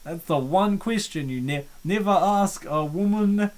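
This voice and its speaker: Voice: mid-range voice, measured pace, darkish tone. A co-host making wry, joking comments while playing through a visual novel. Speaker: playthrough co-host. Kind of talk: casual game commentary.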